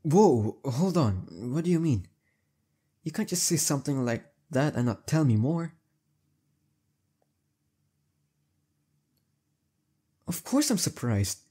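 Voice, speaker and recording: Guy acting as a young heartbreaker friend, mellow husky voice, casual halting talk, intimate roleplay audio. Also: on the high side, lively intonation. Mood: surprised, curious.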